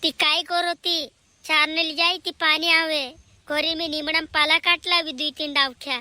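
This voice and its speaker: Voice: high-pitched, little pitch movement, normal speed, sharp tone, no echo. A performer voicing a chattering, scolding village family member in an amateur cartoon comedy.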